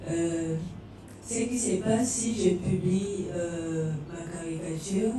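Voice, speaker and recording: A woman answering on a panel as a comic artist, speaking hesitantly with frequent pauses. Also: fairly low pitch, fairly even intonation, warm tone, a little hiss or hum. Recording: very echoey room, distant mic, music.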